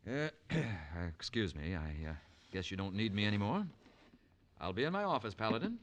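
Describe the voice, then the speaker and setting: An actor playing a fussy small-town lawyer in an old radio western, hesitant, prim and uneasy. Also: male voice, lowish voice, sing-song, normal speed, fairly bright tone.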